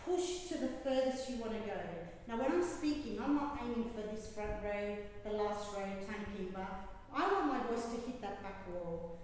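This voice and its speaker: A woman, a public speaking coach, giving a prepared speech to a club audience and telling the story with lively, acted-out instructions. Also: medium pitch, normal speed, slight background noise. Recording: echoey hall, distant mic, faint ambience.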